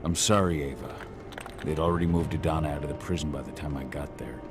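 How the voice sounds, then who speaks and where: Game hero: determined werewolf warrior, deep, earnest and grim, music underneath. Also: male voice, fairly even intonation, fast. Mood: somber. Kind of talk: scripted video game acting.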